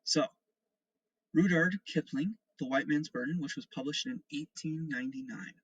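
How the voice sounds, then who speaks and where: Male teacher for a recorded online school lesson, husky voice, explanatory delivery with frequent check-ins, dry close sound. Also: fairly high pitch, normal speed, a bit bright, no echo, muffled recording. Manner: explanatory, often checking in with "okay" and "all right". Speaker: seventh-grade teacher. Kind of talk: remote lesson instructions and read-aloud.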